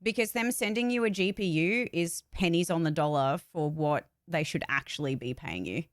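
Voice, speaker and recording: A woman with a fairly low voice talks in a casual, candid livestream style. She is blunt and emphatic and swears casually. The sound is dry and close, with very little room in it. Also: lively intonation, normal speed, dry recording. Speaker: voice actor and channel host.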